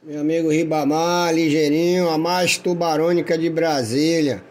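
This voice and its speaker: A man's voice: a little high, steady tone, normal speed, darkish tone. A Brazilian man chatting casually and admiringly as a radio operator, in a friendly way.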